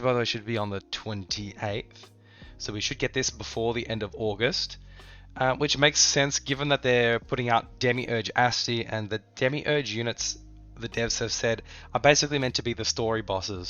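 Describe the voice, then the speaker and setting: Sharp-toned man with a cold. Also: lowish voice, muffled recording. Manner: loose and conversational, frequent ums and restarts. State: stuffy from a cold. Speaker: mobile game channel host. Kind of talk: casual gaming update chat.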